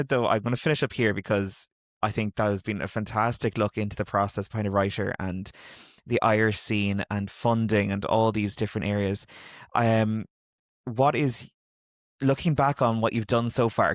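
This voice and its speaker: A man's voice: fairly low pitch, normal speed, dry recording, studio quality. A man hosting a casual interview, asking easygoing, playful questions. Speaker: podcast host.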